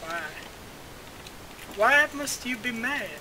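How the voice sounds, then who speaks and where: A gamer chatting casually during play, teasing and bossy, shouting when excited. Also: mid-range voice, takes it slowly, slight background noise. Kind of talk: casual gaming chat.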